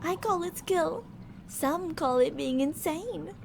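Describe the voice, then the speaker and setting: A very high female voice put on by a woman plays an unhinged mad scientist in an ASMR roleplay. She is cocky, blunt and theatrical, with some noise in the background. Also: takes it slowly, gravelly, exaggerated voice. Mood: cocky, nonchalant. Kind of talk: ASMR roleplay audio drama.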